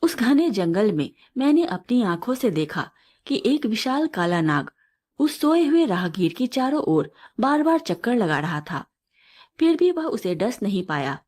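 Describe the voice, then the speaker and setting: A woman's voice: on the high side, sing-song, unhurried, a little mellow. A woman storyteller voicing an earnest, curious male seeker who questions his guru respectfully and formally in a moral tale. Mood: puzzled, reverent.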